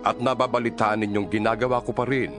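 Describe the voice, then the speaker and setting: A man with a Filipino accent narrating dramatized scripture in a measured, earnest, exhorting manner. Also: mid-range voice, level tone. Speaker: audio Bible narrator. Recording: music under the voice. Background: background music.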